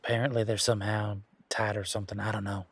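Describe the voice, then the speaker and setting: Man acting as a male werewolf, husky, lowish pitch, casual, wry, teasing, folksy, dry close sound, intimate audio drama. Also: flat delivery, quick pace, dry recording. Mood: wry, uneasy. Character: laid-back wry male werewolf, new in the city. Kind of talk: intimate roleplay audio drama, one-sided conversation.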